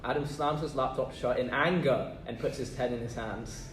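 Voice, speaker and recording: A man's voice: medium pitch, moderate pace. A man reading stage directions aloud from a script. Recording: echoey, distant room with noise.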